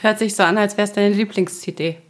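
A woman's voice: medium pitch, steady tone, moderate pace. A woman from Upper Franconia, speaking standard German, chatting and joking as a podcast guest and laughing often. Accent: standard German, Franconian dialect dropped. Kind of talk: casual chat.

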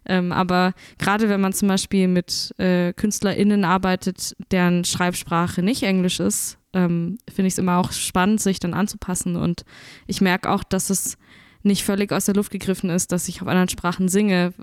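Young bilingual woman, podcast guest; smooth clear voice, measured and searching, dry studio sound. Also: on the low side, flat delivery, dry recording. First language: Italian and German, bilingual. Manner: thoughtful, hesitant, searching for words. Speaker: musician guest. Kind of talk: casual podcast interview.

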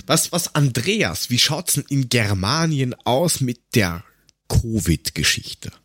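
A man from Lower Austria with an Austrian accent, chatting casually and sarcastically as a podcast co-host, given to ranting and crude jokes. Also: sing-song, slow pace, fairly bright tone, no room sound. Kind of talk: casual chat.

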